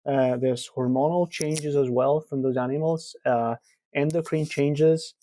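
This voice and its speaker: A man from Argentina in his thirties explains his research as an interview guest. His voice is mellow and warm, recorded dry and close with no room around it. He talks in a relaxed, explanatory way with plenty of fillers. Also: medium pitch, unhurried, no room sound. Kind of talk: conversational interview answers.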